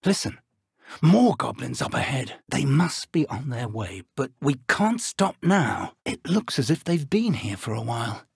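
An elderly wizard professor from a fantasy video game. He is earnest and resolute, with a husky voice that moves animatedly up and down. His phrasing is formal and urgent, and the recording is dry and close. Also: medium pitch, sing-song, a little slow, no echo, heavily acted. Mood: grave, focused. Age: older. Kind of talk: video game character dialogue.

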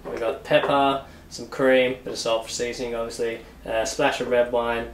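A man's voice: medium pitch, moderate pace, slight background noise. A man demonstrating a recipe at home, chatting casually while he works at the stove. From United Kingdom. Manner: relaxed, chatty, talks while working. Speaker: cooking show chef. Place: home kitchen. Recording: echoey kitchen with loud sizzling. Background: frying and sizzling.

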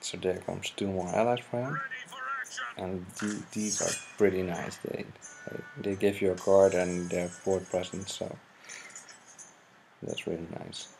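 A young man from the Netherlands with a Dutch accent, hesitantly commenting on his own card game match in halting English. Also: low-pitched, fairly even intonation, gravelly. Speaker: gaming channel host. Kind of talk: gameplay commentary. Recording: noisy home mic recording.

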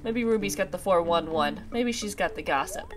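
A woman's voice: medium pitch, expressive, normal speed. Congested woman doing casual gaming commentary. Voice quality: slightly nasal, congested. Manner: chatty, talks to herself. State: congested with a sinus infection, recovering from a cough and sore throat. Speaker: gaming video host. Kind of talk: casual let's play commentary. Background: video game music.

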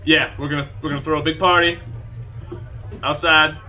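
A man's voice: fairly high pitch, normal speed. A man playing a tabletop game online, joking and swearing casually. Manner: animated, jokey, swears casually. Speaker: player. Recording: lo-fi mic.

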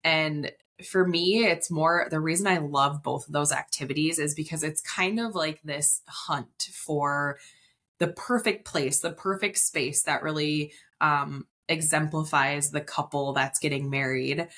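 A woman's voice: low voice, fairly even intonation, a little slow, fairly bright tone. A woman. She explains things in a chatty, conversational way, as a guest on a casual interview podcast. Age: 30s. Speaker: interview guest, wedding planner. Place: indoor room on video call.

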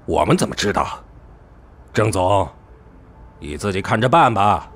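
A man playing a carping, blustery shareholder in an audio drama, needling with drawn-out exclamations. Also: lowish voice, animated, a bit bright, slight background noise. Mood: sneering, dismissive. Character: carping, sneering male shareholder, blustery and fickle. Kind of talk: Chinese audio drama acting.

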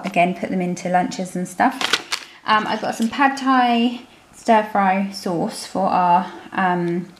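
British female family vlogger, casual and chatty, mid-range voice, echoey room with noticeable background noise. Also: medium pitch. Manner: informal, chatty. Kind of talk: casual grocery haul chat, voice-over to handheld filming. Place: indoor room at home. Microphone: handheld camera microphone. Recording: roomy, noisy home camera recording.

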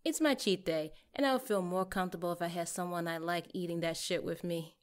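A woman's voice: lowish voice, a little fast, close to an everyday voice. A woman playing a blunt, caring young coworker in an audio drama, talking casually and reassuringly. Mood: friendly, casual.

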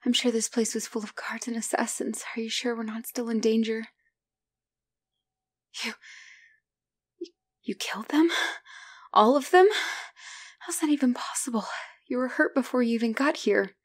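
A woman playing a battle-hardened but tender, devoted female knight in a romantic ASMR roleplay, speaking in a breathy, hushed, emotional way. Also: on the high side, steady tone, normal speed, long pauses, lightly acted. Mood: shaken, amazed.

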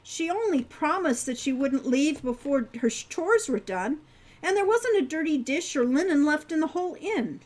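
An older woman, the book's author, narrating her novel in a gentle, expressive storytelling manner at home. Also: high voice, normal speed, low-quality recording. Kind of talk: audiobook narration.

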